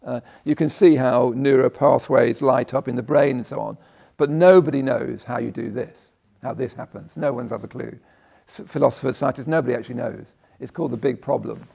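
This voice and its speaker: A man with a croaky voice giving a conversational Buddhist talk, often checking in with "yeah?" and breaking off his sentences. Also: medium pitch, fairly quick, dark tone. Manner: conversational, tag questions, self-interrupting. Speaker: Buddhist teacher. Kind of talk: dharma talk. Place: meditation hall.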